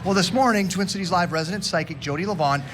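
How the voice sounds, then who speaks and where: A man's voice: high voice, quick pace, a bit bright, slight background noise. A middle-aged man co-hosting on television in a conversational presenting style. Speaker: TV co-host. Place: outdoor garden set at a fair. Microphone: lapel.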